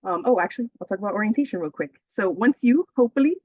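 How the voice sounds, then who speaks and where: A woman's voice: medium pitch, animated, racing, a little mellow, no echo. A woman, an associate director giving an upbeat, friendly webinar presentation over a video call, with frequent "um"s. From Bronx, New York. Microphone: laptop mic on video call. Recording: dull, dry video call audio.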